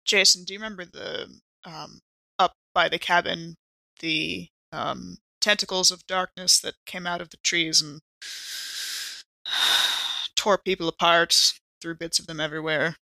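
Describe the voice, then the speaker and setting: A woman's voice: on the low side, steady tone, a little slow, gravelly, pushed and bright, dry recording, low-quality recording. A woman playing a tabletop game, improvising in a casual, wry, conversational manner. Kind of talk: casual, joking improvised role-play.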